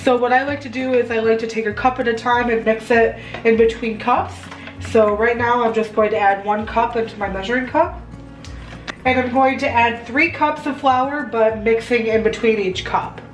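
Young american lady doing a chatty baking how-to, kinda high voice, roomy kitchen sound. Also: fairly even intonation, normal speed, soft background music. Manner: chatty, instructive. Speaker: home baking vlogger. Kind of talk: casual step-by-step recipe tutorial. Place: home kitchen, indoors.

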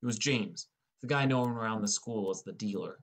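Low-voiced man narrating an audiobook. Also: moderate pace, gravelly. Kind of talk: scripted first-person story narration.

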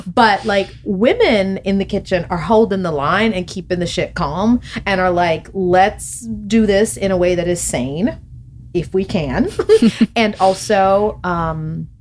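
A woman's voice: fairly low pitch, a little hiss or hum. Thoughtful woman speaking on a podcast. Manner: thoughtful, animated hand gestures, laughs often. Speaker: podcast guest, author. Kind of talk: reflective conversational interview. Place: podcast studio. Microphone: studio mic on boom arm.

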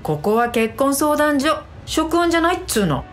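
A woman's voice: high-pitched, sing-song, moderate pace. A woman playing a cheeky young marriage agency staffer in a radio drama, casual, blunt and teasing. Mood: needling.

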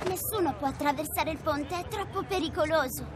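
Game casting: adult woman as cheeky, persistent street kid, a girl with a very high pitch, busy noisy background. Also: brisk, over a noisy crowd, close to an everyday voice. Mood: insistent. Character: bold young Indian street girl, cheeky little hustler. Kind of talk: video game voice acting. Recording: noisy busy market backdrop.

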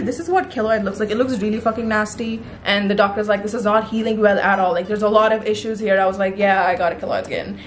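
A young woman in her twenties with an Arabic-influenced accent, talking crudely and jokingly to camera in her bedroom. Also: fairly high pitch. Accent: Arabic-influenced English. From Middle East. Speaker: vlogger. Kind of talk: casual vlog chat. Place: bedroom, indoors.

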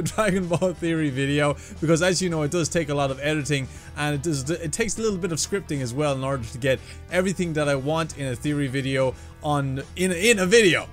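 A man in his twenties hosting reaction videos in a casual, slangy, excitable way. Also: a little high. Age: 20s. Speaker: YouTube reaction host. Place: small bedroom, indoors.

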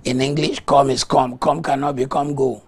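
A middle-aged male pastor, a Yoruba native speaker, preaching a fervent televised morning sermon and breaking into rhythmic bursts of rapid tongues, recorded on a lapel mic in a TV studio. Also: mid-range voice, little pitch movement, husky. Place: TV studio set.

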